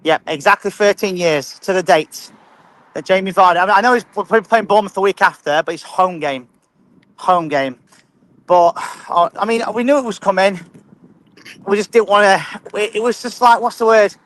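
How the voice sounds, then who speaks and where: A man's voice: sits high, normal speed, fairly bright tone. A man calling in to a fan show, emphatic, often stumbling and repeating words. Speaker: caller. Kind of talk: casual fan chat.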